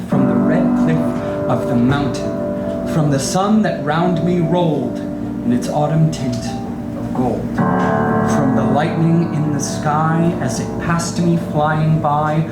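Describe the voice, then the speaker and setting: A stage performer reads verse solemnly and very slowly, breaking it into short, measured phrases. The room is echoey, and plucked guitar music plays loudly underneath. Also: medium pitch. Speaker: stage performer reading poetry. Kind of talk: dramatic poetry recitation.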